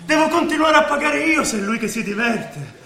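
An actor playing a jealous, menacing police sergeant, commanding and explosive in a theatrical stage drama. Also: male voice, very high voice, big pitch swings, brisk, vocal fry, pushed and bright, exaggerated voice. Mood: jealous scorn. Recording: distant, very echoey, noisy stage recording.

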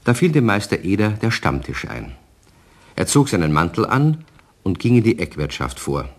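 A storyteller narrating a children's radio play. His voice is deep, and his delivery moves expressively up and down as he addresses young listeners. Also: sing-song, moderate pace. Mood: storytelling.

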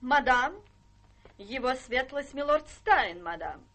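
An actress playing a brisk, deferential household maid in a radio play, announcing things in a formal, clipped way. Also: female voice, high voice, animated, fairly bright tone, full character voice. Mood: formal, obedient. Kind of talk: theatrical radio play acting.

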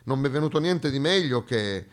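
Male stage storyteller, mid-range voice, comic monologue with dramatic pauses, hushed to emphatic. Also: medium pitch, animated.

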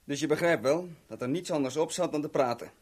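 Radio play casting: chummy kidnapper, cocky and scheming, lighter-pitched husky voice, unhurried, boastful storyteller. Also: male voice, darkish tone. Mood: chummy, explaining. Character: small-time crook Tony, cocky, chummy, scheming kidnapper. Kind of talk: 1970s Dutch radio drama acting.

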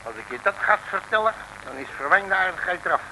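An actor playing a brash, jovial, cheeky detective with a folksy, swaggering manner in an old Dutch radio serial. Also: male voice, high-pitched, lively intonation, fast. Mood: sly, jaunty. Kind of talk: 1950s-60s Dutch radio serial acting. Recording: old radio recording with faint noise.

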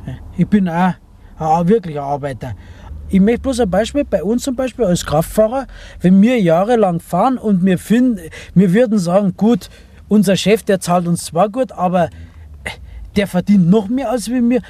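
A man's voice: high voice, expressive, normal speed, dark tone, dry recording. A man, a truck driver giving his opinions casually. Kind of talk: casual opinion in an original-sound radio collage.

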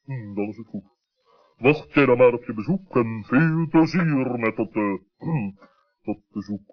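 A man's voice: mid-range voice, lightly acted. A man playing a fatherly, fussy owl in a children's radio play, solemn and admonishing, with hesitant hums. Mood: resigned. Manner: measured, humming hesitantly, admonishing. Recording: dull 1960s radio recording.

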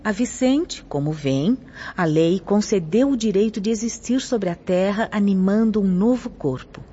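A woman's voice: medium pitch, lively intonation, warm tone, breathy edge. A woman acting a grave spirit teacher in a radio drama, explaining moral law in a solemn, didactic declamation. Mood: solemn, reproving. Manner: solemn, didactic, measured emphasis. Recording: muffled lo-fi audio with music behind. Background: background music.

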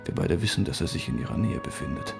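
An actor playing a secretive investigator in a radio crime drama, confiding and urgent yet reassuring. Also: male voice, very deep voice, animated, fast talker, gravelly, music in the background, heavily acted. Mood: conspiratorial.

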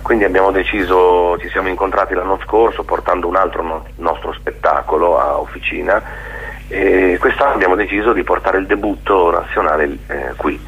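A man being interviewed on radio, speaking in a halting, reflective way and often checking for agreement. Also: low voice, steady tone, slightly rough. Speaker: theatre maker being interviewed. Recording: dull, lo-fi radio interview sound.

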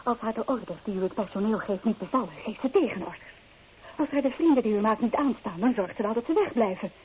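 Actress as a restless young bride, tinny old recording. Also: female voice, a little high, expressive, fast, lightly acted. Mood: defiant, agitated. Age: young adult. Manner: emotional, poetic, impassioned. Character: restless, romantic young bride-to-be, anguished and defiant. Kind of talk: old Dutch radio drama acting. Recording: thin, band-limited old radio recording, faint noise.

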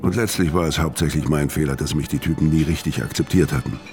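A man's voice: a bass voice, fast. An actor playing a bitter, world-weary hitman who narrates and speaks tersely in a noir radio play. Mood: bitter, laconic. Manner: terse, deadpan. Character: hard-boiled middle-aged hitman, bitter, violent, world-weary loner.

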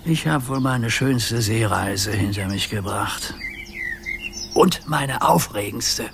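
Commanding old grandpa sea captain. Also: male voice, fairly low pitch, takes it slowly, creaky, noisy background. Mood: calm, contented. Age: older. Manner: commanding, nautical, grandly earnest. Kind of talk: children's radio play acting.

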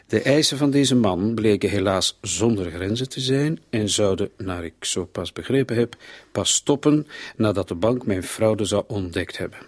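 An actor playing a matter-of-fact, reflective police commissioner in a radio play. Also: male voice, fairly low pitch, expressive, normal speed, no echo. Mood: reading gravely.

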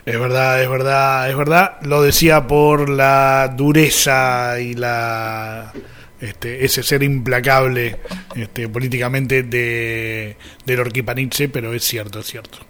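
An Argentine man speaks as a radio host in a medium-pitched voice. His delivery is conversational and casual, wandering from one aside to the next. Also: level tone, slow, fairly bright tone.

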